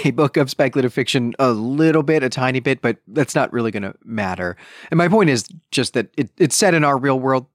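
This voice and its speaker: A man's voice: mid-range voice, no room sound, clean studio sound. A man hosting a podcast, talking through a book review conversationally, explaining and adding self-correcting asides. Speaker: podcast host.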